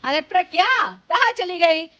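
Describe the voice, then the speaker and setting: A woman's voice: very high-pitched, animated, a little slow, pushed and bright, full character voice. A woman voicing a boastful elder sister-in-law in a cartoon, mocking and full of exclamations. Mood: uneasy.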